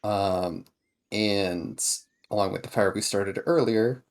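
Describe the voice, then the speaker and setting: A man casually narrating a tabletop roleplaying game, pausing to find his words. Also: on the low side. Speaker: tabletop RPG player.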